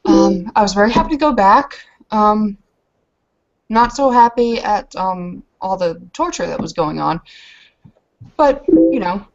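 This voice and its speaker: A woman's voice: mid-range voice, lively intonation, measured pace, long pauses, dull audio. A woman voicing a self-deprecating female werewolf warrior who tells of her battles in a hesitant, rambling way. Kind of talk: in-character tabletop roleplay storytelling.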